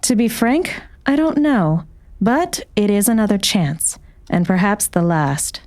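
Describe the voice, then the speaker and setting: Cool female doctor, played by an actress, smooth mid-range voice, formal and measured, for a western audiobook. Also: medium pitch, expressive, darkish tone, natural voice. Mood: measured, guarded. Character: cool, composed woman doctor with uncertain loyalties. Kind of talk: audiobook character acting.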